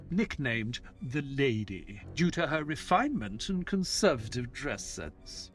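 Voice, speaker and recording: Measured male chronicler of grim wartime crimes actor, audio drama, dramatic and widely varied in pitch. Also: a bit bright. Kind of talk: scripted audio drama narration.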